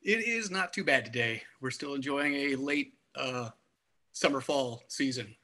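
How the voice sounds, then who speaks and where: A man speaks as a guest on a music podcast. His voice is bright and forward at a middling pitch, and he explains things in an affable, casual way. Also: unhurried.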